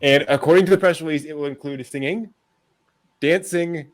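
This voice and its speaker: A man's voice: fairly high pitch, normal speed. A man hosting a casual anime news show, chatting and joking with a wry, amused delivery.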